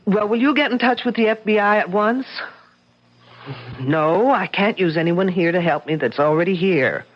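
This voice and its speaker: An actress playing a brisk, sharp-tongued woman detective in a 1970s radio drama. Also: medium pitch, lightly acted. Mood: exasperated. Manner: brisk, impatient, probing. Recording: dull old radio broadcast.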